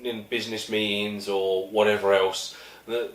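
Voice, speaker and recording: A man's voice: fairly low pitch, level tone, moderate pace. A middle-aged man from East London, with an East London accent, telling wry, self-deprecating stories in a casual monologue. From East London, England. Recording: echoey room, mic at a distance.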